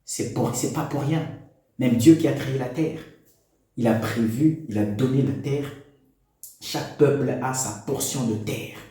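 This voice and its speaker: A man's voice: mid-range voice, measured pace, mellow. Preachy man in echoey room. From DR Congo. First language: Congolese national language. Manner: preachy, exhorting, full of rhetorical questions. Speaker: commentator addressing parents. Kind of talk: opinion monologue to camera.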